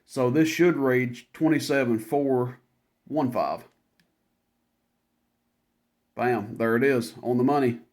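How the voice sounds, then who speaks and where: A man's voice: medium pitch, measured pace, a little mellow. A man giving a casual product demonstration as a seller, talking informally and rambling through each step as he goes.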